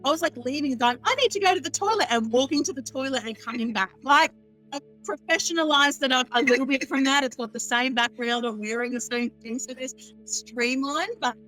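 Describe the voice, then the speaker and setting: A woman's voice: high-pitched, fairly quick, a bit bright, soft background music, low-quality recording. A chatty, bubbly woman, a guest astrologer on a podcast, who laughs often. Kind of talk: casual podcast chat.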